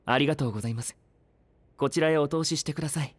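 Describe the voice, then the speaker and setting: A man's voice: a little high, racing, husky, no echo. A male actor playing a serene, elegantly polite young knight with a lightly teasing composure in an anime audio drama. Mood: courteous, welcoming.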